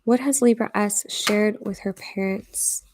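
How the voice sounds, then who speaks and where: A woman's voice: medium pitch, steady tone, a little slow, a little mellow, a little hiss or hum. A woman doing a live tarot reading in a chatty, think-aloud way, with many fillers and some whispered asides. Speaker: tarot reader.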